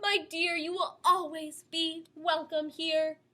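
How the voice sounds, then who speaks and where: A woman's voice: very high-pitched, little pitch movement, slow pace, pushed and bright, pitched above the performer's own voice, exaggerated voice. A woman voicing a kindly, earnest queen in a read-aloud story. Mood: remorseful. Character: remorseful kindly queen, middle-aged woman.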